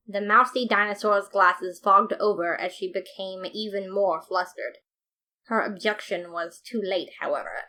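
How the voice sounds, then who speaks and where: A woman reading a fan story aloud as narrator, with lively, playful storytelling. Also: medium pitch. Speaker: podfic reader.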